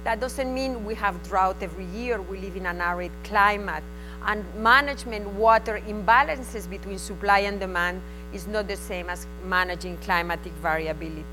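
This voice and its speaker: A woman's voice: mid-range voice, moderate pace, bright, forceful tone, faint music behind, low-quality recording. A woman from Spain with a Spanish accent, presenting at a conference in a frank, conversational way that comes in short bursts. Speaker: invited conference speaker. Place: conference hall.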